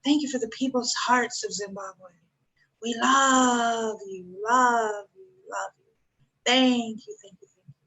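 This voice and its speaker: Earnest bright-voiced woman in her fifties. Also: fairly high pitch, unhurried, breathy edge, poor audio. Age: 50s. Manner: earnest, repeats words of thanks. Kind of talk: spiritual chat.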